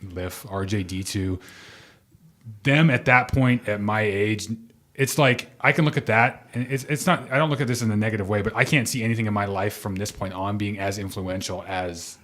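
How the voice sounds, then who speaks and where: A man in his late thirties, a guest musician on a radio show, talking in a rambling, self-correcting, animated way. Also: on the low side, normal speed. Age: 30s. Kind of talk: casual chat.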